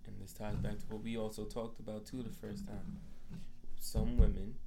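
A man's voice: on the low side, little pitch movement, normal speed, vocal fry, mellow. A man in his twenties sharing opinions casually in a group discussion, with background noise in the recording. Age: 20s. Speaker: discussion panelist.

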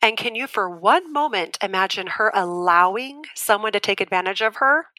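Earnest, bright-voiced woman survivor. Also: mid-range voice, animated, moderate pace, dry recording. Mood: firm, challenging. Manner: articulate, explanatory, insistent. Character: Julia, earnest, firm woman abuse survivor explaining patiently. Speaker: debate guest. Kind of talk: audio drama dialogue as a broadcast debate.

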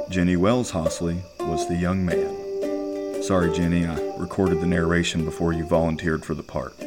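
Male credits reader, deep and unhurried, wry and casual, loud plucked guitar underneath. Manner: wry, tongue-in-cheek. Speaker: co-host, writer and narrator. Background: loud plucked guitar music.

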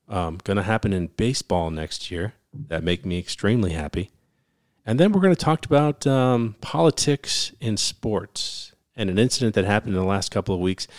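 A man's voice: fairly low pitch, dry recording, clean studio sound. A man co-hosting a sports talk podcast, talkative and joking as he leads the conversation. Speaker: podcast co-host. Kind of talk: casual chat.

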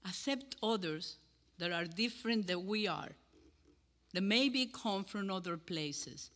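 An older woman from Cuba with a Cuban Spanish accent, giving an earnest sermon to a congregation and pausing between phrases. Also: mid-range voice, a bit bright. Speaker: guest speaker. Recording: hall with long reverb.

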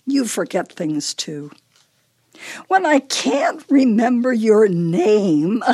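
An actress playing a forgetful elderly wife in a radio play, teasing and fretful with a deliberate, wistful manner. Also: female voice, medium pitch, full character voice.

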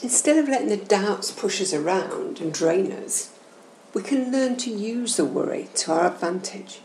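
A woman guiding a meditation session in a soothing, gentle, measured and instructional way. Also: medium pitch, expressive. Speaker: guided meditation host. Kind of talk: slow, soothing guided meditation narration. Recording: roomy echo, faint background noise.

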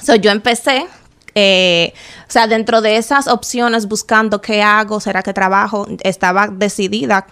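Young Dominican woman, smooth clear voice, earnest and reflective radio interview guest, pauses with fillers. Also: medium pitch, fairly even intonation, a bit bright. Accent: Dominican Spanish. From Dominican Republic. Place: radio studio.

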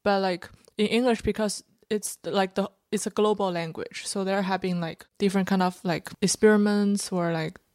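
A woman's voice: mid-range voice, steady tone, moderate pace, hardly any pauses, darkish tone, no echo, clean studio sound. Frank woman comedian, filler-heavy. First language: Chinese. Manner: frank, loose, many filler "like"s. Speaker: stand-up comedian guest. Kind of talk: casual interview talk. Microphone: podcast microphone.

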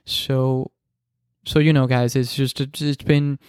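A man in his twenties whose native language is Spanish talks alone into the mic for his podcast. He speaks slowly, stopping and restarting often, in an earnest, reflective way. His voice is smooth and resonant, and the recording is dry and close. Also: mid-range voice, little pitch movement, darkish tone, no echo. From Dominican Republic. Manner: halting, many restarts and fillers. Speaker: podcast host. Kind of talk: solo podcast monologue.

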